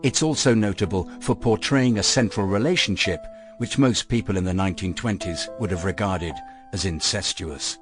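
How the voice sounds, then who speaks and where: A man with a fairly low voice delivers a scripted radio introduction. His manner is measured and formal, and music plays clearly beneath him. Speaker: announcer introducing a radio play. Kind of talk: scripted narration. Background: music under the voice.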